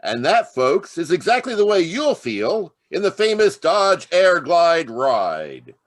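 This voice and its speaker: A man's voice: on the high side, huge pitch swings, takes it slowly, no room sound, clean studio sound, heavily acted. A man playing an enthusiastic 1930s radio car salesman, chummy and emphatic in old commercial style. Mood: selling hard.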